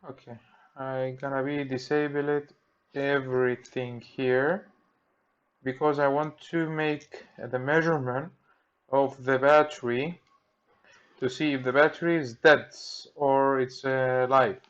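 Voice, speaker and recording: Slow-talking Greek man at a repair bench. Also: medium pitch, fairly even intonation, lo-fi sound. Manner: halting, thinking aloud in simple English while working. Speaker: repair technician. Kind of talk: hands-on repair tutorial. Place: workbench.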